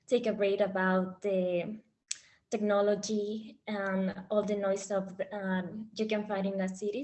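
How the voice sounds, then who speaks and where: A woman's voice: mid-range voice, darkish tone. A woman from Ecuador, answering practice exam questions hesitantly with frequent fillers. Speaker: exam candidate.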